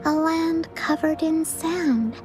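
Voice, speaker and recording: Gentle, slow-speaking young girl. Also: very high-pitched. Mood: solemn, encouraging. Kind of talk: video game character dialogue, reading aloud. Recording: faint music behind the voice. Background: game music.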